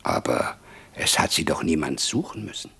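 A man's voice: extremely low pitch, big pitch swings, normal speed, vocal fry, bright, forceful tone, slightly rough, full character voice. A man playing a garrulous, wryly lamenting older Russian medical man in a 1950s radio play, with chatty, rambling storytelling. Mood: gossipy amusement.

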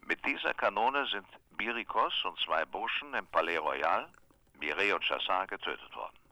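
Deep, gravelly police commissioner. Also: male voice, animated, pushed and bright, no echo, full character voice. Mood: matter-of-fact. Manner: dry, brisk, factual. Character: brusque, matter-of-fact male police commissioner. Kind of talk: radio drama dialogue.

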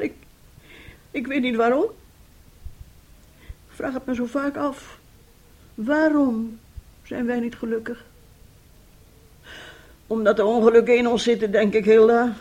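Husky, embittered middle-aged mother. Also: on the high side, a little slow, long pauses, a little mellow. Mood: weary, confessing. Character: embittered, despairing middle-aged mother and aunt in unhappy household. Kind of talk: Dutch radio play acting.

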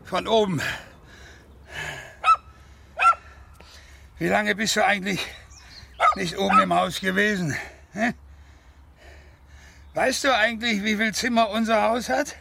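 An actor playing a gruff, lonely old farmer father in a radio drama, needling and blunt. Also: sits high, fairly even intonation, normal speed, long pauses, pushed and bright, a little hiss or hum, full character voice. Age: older. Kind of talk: German radio drama acting.

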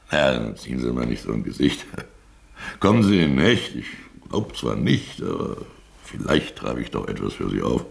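An actor playing an eccentric, wryly self-mocking, boozy disbarred lawyer in a German radio play, rambling theatrically. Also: male voice, exaggerated voice. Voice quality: very deep, gravelly.

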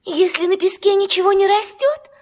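A woman's voice: very high voice, moderate pace, darkish tone. A woman playing a small, sweet, cheerful childlike creature in a children's audio play. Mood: sweet, polite.

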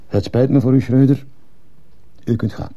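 A stern, dutiful headmaster in a radio play, with a very low, dark voice. He speaks formally and officiously, with a husky edge to his cold reproofs. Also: normal speed, lo-fi sound, heavily acted. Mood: coldly reproving. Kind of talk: Dutch-language radio drama acting.

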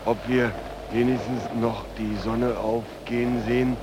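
An actor as a slow-speaking shipwrecked sailor, very noisy recording. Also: male voice, mid-range voice, flat delivery, lightly acted. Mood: faint, despairing. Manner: halting, repeating words, stammering. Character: weakening shipwrecked sailor clinging to wreckage, afraid to die. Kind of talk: 1940s German radio play acting. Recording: noisy old 1940s radio recording.

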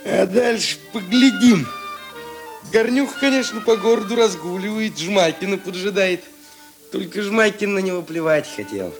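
An actor playing a brash, restless but tender thief in a Russian radio drama, with halting, repetitive, slangy speech. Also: male voice, high-pitched, lively intonation, faint music behind. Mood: brash, wry.

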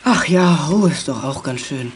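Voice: medium pitch, lively intonation, normal speed, slight background noise, close to an everyday voice. A young-sounding performer playing a wry, sarcastic, sporty boy in a German children's audio drama. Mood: mock-contrite.

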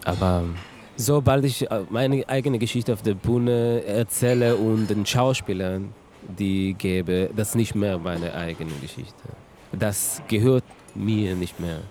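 A man speaking casually and haltingly as a theatre director talking backstage. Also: on the low side, darkish tone. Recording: clear background noise.

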